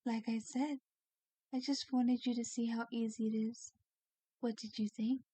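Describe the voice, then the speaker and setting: Woman playing a slow, soothing, high-voiced lady vampire. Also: flat delivery, no echo. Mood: doting, pleased. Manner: gentle, soothing, genteel, coaxing. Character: kindly, doting female vampire, genteel mansion owner. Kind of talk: ASMR roleplay, soft scripted acting.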